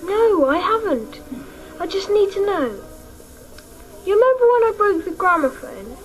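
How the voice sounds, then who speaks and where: High-voiced, persistent young boy. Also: big pitch swings, a little slow, soft background music, heavily acted, poor audio. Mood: earnest, insistent. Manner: insistent, probing questions. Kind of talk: radio drama acting.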